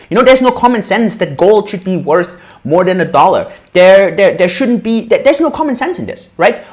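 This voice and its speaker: A man in his thirties from Austria, giving a casual livestream lecture on investing at a whiteboard, often tagging sentences with "right?". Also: high-pitched. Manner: animated, casual, frequent "right?" tag questions. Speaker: crypto educator.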